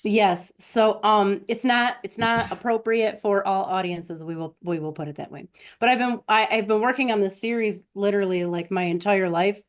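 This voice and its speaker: A woman's voice: medium pitch, normal speed. A woman hosting a live stream, telling personal stories in a casual, conversational way and talking directly to her listeners.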